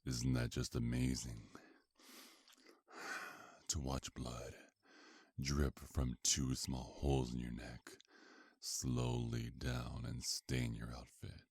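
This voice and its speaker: A man playing a seductive, possessive vampire mafia boss in an ASMR roleplay, with a taunting, drawn-out, intimate murmur. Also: rumbling low, monotone, a little mellow, breathy edge, no echo, exaggerated voice. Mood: gloating, soft.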